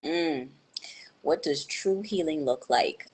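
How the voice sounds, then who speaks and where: A woman's voice: deep voice, slow, darkish tone, husky, poor audio. A young woman answering interview questions in a thoughtful, hesitant way, with many fillers and restarts. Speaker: guest.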